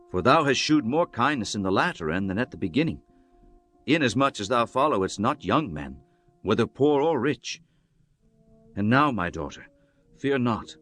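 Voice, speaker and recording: An actor playing a kindly, authoritative landowner in a dramatized scripture, speaking in a solemn, declamatory way. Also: male voice, medium pitch, fairly bright tone. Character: Boaz, kindly, authoritative landowner and kinsman of Bible times.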